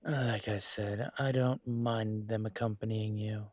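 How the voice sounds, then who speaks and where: A man playing a courtly, possessive dragon mafia boss in an intimate audio roleplay, speaking in a suave, teasing, formal way with a husky voice. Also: fairly low pitch, fairly even intonation, measured pace, a little mellow, dry recording. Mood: gently reassuring. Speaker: voice actor.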